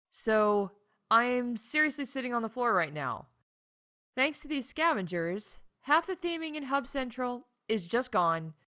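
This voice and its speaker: A woman playing a flustered, fed-up theme park announcer in a comedic audio drama, halting and exasperated. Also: a little high, sharp tone, heavily acted. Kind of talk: scripted comedic in-world announcement broadcast.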